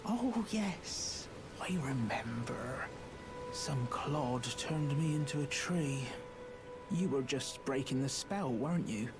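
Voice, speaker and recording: A man's voice: medium pitch, expressive, slow. A man playing a timid, plaintive little outcast creature in a video game, hesitant and stammering as he pleads. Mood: grateful, wistful. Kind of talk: video game character acting. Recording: game audio under loud music. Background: loud game music.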